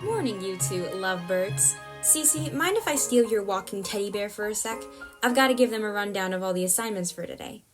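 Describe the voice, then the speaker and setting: A woman voicing an energetic, enthusiastic female news director who rambles briskly in a comedic audio drama. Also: mid-range voice, lightly acted. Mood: teasing. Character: booming, energetic female lead news director, bossy but friendly. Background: music bed.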